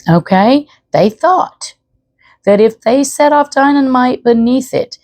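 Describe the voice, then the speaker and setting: A woman's voice: sits high, animated, slow, darkish tone, no room sound. A woman storyteller reading aloud expressively, with chatty asides to the listener. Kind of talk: expressive read-aloud narration.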